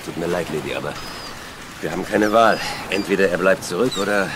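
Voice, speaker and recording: An actor playing a gruff, pragmatic survivor in a radio drama, dry and blunt. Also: male voice, low voice, fairly quick, natural voice. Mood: grim. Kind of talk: German radio drama acting. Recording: noisy outdoor ambience.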